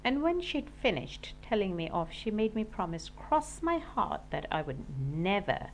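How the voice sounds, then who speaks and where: Lady teacher reading a kids book out loud, very expressive and up and down, bit of background noise. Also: mid-range voice, a little slow, creaky, rough recording. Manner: animated, dramatic read-aloud for children. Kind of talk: expressive storybook narration.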